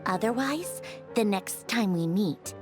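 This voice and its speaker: Game villainess: refined, pompous, menacingly polite; slow, very expressive delivery over clear background music. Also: female voice, medium pitch. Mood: veiled menace. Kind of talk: theatrical scripted game dialogue. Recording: music behind the voice.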